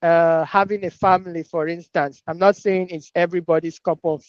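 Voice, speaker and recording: A reflective speaker with a medium-low voice talks casually through ideas, pausing and repeating words along the way. The sound is close and dry, with a slightly dull, lo-fi finish. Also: fairly even intonation, dry recording. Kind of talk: casual discussion.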